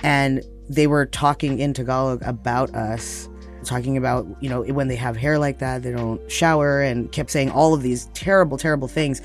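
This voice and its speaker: A man's voice: medium pitch, fairly even intonation, moderate pace, faint music behind. A man with an American accent telling personal stories reflectively and conversationally as an interview guest.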